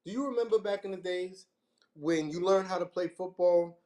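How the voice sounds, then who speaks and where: A man's voice: high voice, normal speed, darkish tone. A man guesting on a sports stream, mocking a player with a string of rhetorical questions. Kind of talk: comedic roast.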